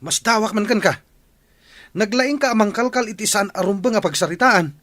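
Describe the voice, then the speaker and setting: A man's voice: sits high, brisk, a bit bright, no room sound. A man acting a put-upon, defensive village husband in a radio comedy drama, grumbling and argumentative.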